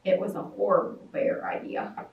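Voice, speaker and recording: A woman in her thirties reading a picture book aloud to young children at a library storytime, sing-song and animated, acting out shouts and roars. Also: medium pitch, moderate pace, vocal fry, far from the mic, narrow, tinny audio, background noise. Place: library storytime room with toys. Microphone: far camera. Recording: echoey room, voice from afar.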